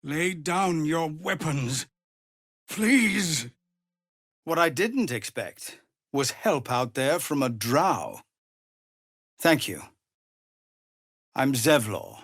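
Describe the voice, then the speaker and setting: A middle-aged man playing a weary, dutiful tiefling commander of refugees in a video game, speaking formally and earnestly with measured authority. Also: a little high, big pitch swings, fairly bright tone, no room sound, studio quality, exaggerated voice. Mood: urgent, commanding. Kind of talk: dramatic video game voice acting.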